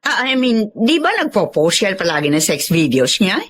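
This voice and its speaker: Animated, skeptical Filipina friend. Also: female voice, mid-range voice, moderate pace. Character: skeptical, blunt young female friend. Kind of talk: Filipino radio drama acting.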